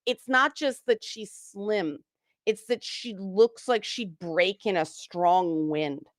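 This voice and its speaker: A woman's voice: medium pitch, slow pace, no echo, clean studio sound. Frank, opinionated woman on a panel. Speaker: panel guest. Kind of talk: casual panel discussion.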